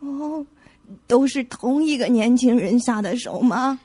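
Woman acting a slow, husky grieving sister. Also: fairly high pitch, animated, warm tone, no echo. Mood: bitter, shaken. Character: grieving woman who lost her twin sister. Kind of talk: audio suspense drama acting.

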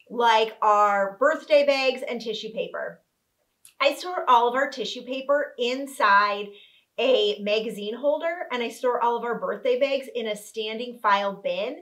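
A woman's voice: on the high side, fairly bright tone. A woman in her thirties, a home organizing vlogger, walking viewers through a tutorial in an upbeat, instructive, step-by-step way. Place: living room with couch, indoors.

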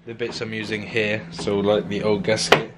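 A man's voice: lowish voice, level tone, normal speed. A young man with a British accent chatting casually as a car vlogger on a handheld camera. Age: young adult. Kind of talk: casual vlog chat about working on a car. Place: garage and driveway. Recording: noisy handheld camera audio.

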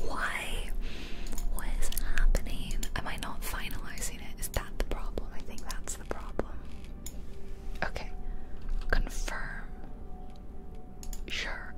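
Whispering woman, slow ASMR host. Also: rough recording. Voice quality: soft unvoiced whisper. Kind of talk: ASMR whispering, casual game commentary. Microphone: close ear-to-ear ASMR microphone.

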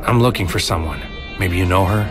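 Man acting a very deep brooding noir infiltrator. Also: lightly acted. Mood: brooding, intense. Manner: poetic, clipped, deliberate phrasing. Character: brooding male mind infiltrator narrating a surreal noir mission. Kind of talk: scripted noir audio drama narration. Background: music.

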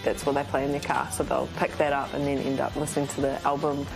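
A woman's voice: rumbling low, flat delivery, fast, gravelly, music in the background, low-quality recording. A young woman talking casually as a musician being interviewed. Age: young adult. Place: small indoor room.

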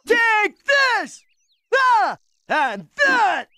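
Dim, goofy, exuberant cartoon boy; slow-paced, swinging pitch, music behind. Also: wildly swooping pitch, no echo, full character voice. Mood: wild excitement. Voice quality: goofy, dopey voice. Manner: loud, exuberant, childlike exclamations. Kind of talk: animated cartoon voice acting.

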